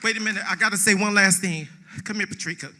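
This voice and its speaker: Bright, forceful man giving an acceptance speech. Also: high voice, fairly even intonation, fast talker, slight background noise. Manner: emphatic, repeats phrases for effect. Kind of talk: emotional, off-the-cuff acceptance speech. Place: on stage at a theatre awards ceremony.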